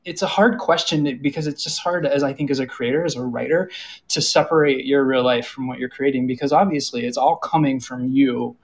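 A man's voice: mid-range voice, fairly even intonation, a little fast, breathy edge. A man moderating a casual panel discussion, talking in a self-deprecating way and repeating himself for emphasis. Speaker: panel moderator, audio drama creator.

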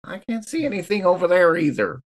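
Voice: mid-range voice, lively intonation, fairly quick. A player in a tabletop game podcast, chatting and improvising casually. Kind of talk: casual improvised role-play chat.

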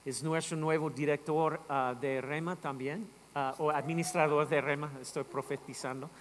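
A man, a pastor, giving a loose, halting, friendly introduction of a guest speaker in church. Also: fairly high pitch, steady tone. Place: church hall. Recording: reverberant hall with faint noise.

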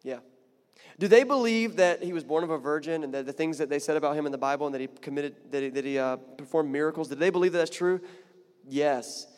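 A man's voice: fairly high pitch, fast talker, darkish tone, clear, resonant tone. Conversational preacher man, echoey hall. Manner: conversational, rising to emphatic, repeats words. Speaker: pastor. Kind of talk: sermon.

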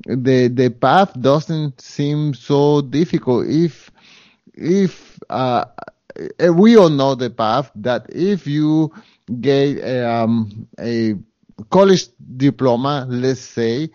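A man's voice: medium pitch, very slow, mellow, no echo, highs cut off. A man with a Spanish accent, a guest in an interview, talking earnestly with many fillers and restarts. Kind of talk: interview conversation.

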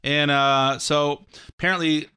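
Middle-aged American male talk host, smooth, bright voice, opinionated, loose and repetitive delivery. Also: fairly high pitch, steady tone, unhurried. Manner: rambling, repeats phrases, acts out imagined quotes. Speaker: political commentator and show host. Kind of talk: casual opinionated political commentary.

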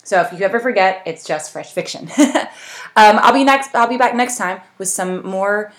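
A woman's voice: mid-range voice, normal speed. A woman hosting a book podcast, chatting in a friendly, upbeat way with a guest and signing off the show. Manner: friendly, upbeat, laughs often. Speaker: podcast host. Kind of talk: casual interview chat.

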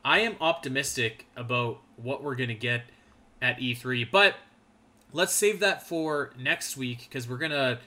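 A man's voice: mid-range voice, measured pace, pushed and bright. A man in his thirties co-hosting a gaming podcast, talking in a rambling, laid-back way with lots of fillers. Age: 30s. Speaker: podcast co-host. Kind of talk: casual gaming podcast chat.

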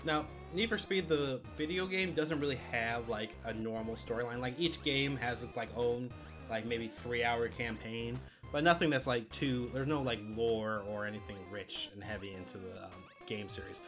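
A man's voice: medium pitch, moderate pace, music in the background. A man co-hosting a podcast in casual film discussion, chatty and loosely profane. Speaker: podcast co-host.

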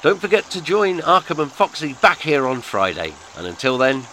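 A man's voice: a little high, sing-song, moderate pace, bright, forceful tone, slight background noise. A man hosting a podcast, delivering a scripted satirical monologue in florid, pompous, sardonic phrasing. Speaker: podcast host.

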